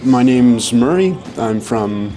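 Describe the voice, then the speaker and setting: A young man from Canada introduces himself in a halting way, with lots of fillers and restarts. Faint guitar music plays under his voice. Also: mid-range voice, lively intonation, dark tone. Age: 20s. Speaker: violinist. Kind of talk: casual self-introduction.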